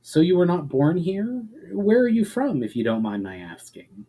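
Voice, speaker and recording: Storybook elf traveler, male man acting: polite, inquisitive, earnest, formal, pitched up from the reader's natural voice. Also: very animated, normal speed, warm tone. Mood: curious, surprised. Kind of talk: dramatic storybook reading.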